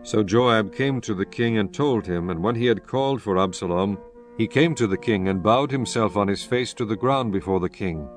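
A man giving scripted Bible narration in a measured, solemn manner. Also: lowish voice, soft background music.

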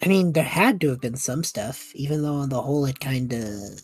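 A woman voicing a cheerful, excitable and earnest young male student in an audio drama. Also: very deep voice, moderate pace, husky, faint music behind, full character voice.

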